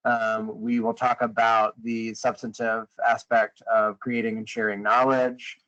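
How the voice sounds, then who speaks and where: A man leading a conference call, briefing informally in a hesitant, halting way and often rephrasing mid-sentence. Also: lowish voice, flat delivery, a bit bright. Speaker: meeting leader on a conference call. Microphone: conference call line. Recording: dull, lo-fi conference call audio.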